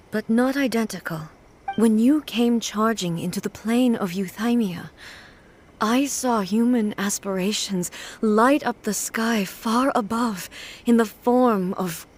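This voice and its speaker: A woman playing a composed, dignified, reflective immortal ruler in a video game, formal and measured. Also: medium pitch, slightly rough. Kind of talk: video game character acting.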